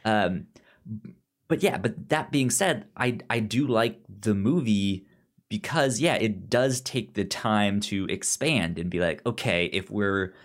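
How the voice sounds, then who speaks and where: Man with a halting, stammering delivery. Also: on the low side. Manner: halting, repeats sounds and words, stutters on words. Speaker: podcast co-host. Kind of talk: casual discussion of comics and film.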